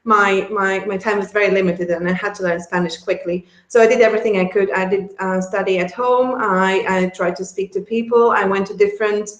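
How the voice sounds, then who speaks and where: A woman's voice: medium pitch, steady tone, brisk, few pauses, echoey room, rough recording. A woman from Latvia with a Latvian accent, a guest chatting casually in an interview, laughing often and restarting her sentences. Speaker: guest, relocation service founder.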